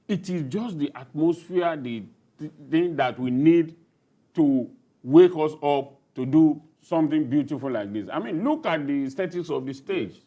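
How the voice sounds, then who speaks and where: A man's voice: a little high, mellow, highs cut off. A middle-aged man with a Nigerian accent, talking emphatically and off the cuff in a panel discussion.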